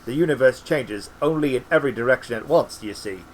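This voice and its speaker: A man voicing a senior wizard in a storybook, earnest, weary and muddled, halting as he searches for words. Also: mid-range voice, a little hiss or hum, lightly acted, rough recording. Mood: flustered, earnest.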